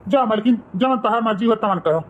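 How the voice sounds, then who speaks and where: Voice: medium pitch, fairly quick, lo-fi sound, natural voice. Someone voicing a weary old Awadhi father-in-law. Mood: resigned. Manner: resigned, pleading.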